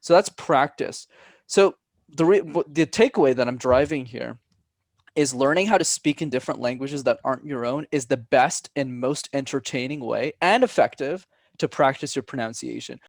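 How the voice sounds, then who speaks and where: Clear-spoken young man with gravelly edge. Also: mid-range voice, no echo, clean studio sound. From Canada. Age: young adult. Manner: clear deliberate enunciation, coaching tone. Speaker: public speaking coach, guest. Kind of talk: podcast interview.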